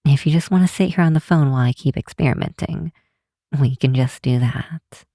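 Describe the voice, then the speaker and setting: A woman's voice: rumbling low, brisk, mellow, dry recording, clean studio sound. A woman voicing an affectionate, bookish vampire researcher in an ASMR roleplay, talking tenderly and teasingly with small hesitations. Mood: fond, musing. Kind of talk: ASMR roleplay, one side of a late-night phone call.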